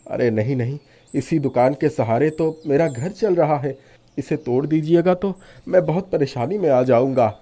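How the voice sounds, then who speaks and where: A man voicing a devout, worried shopkeeper and devoted son in a story, speaking earnestly and gently. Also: on the high side, moderate pace, natural voice. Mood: distressed. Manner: earnest, soft-hearted. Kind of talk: animated moral story dialogue.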